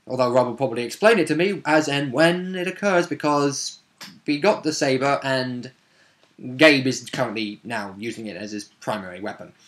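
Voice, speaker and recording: A man's voice: mid-range voice, a bit bright. A man casually recounting a tabletop game session, rambling along with wry, informal asides.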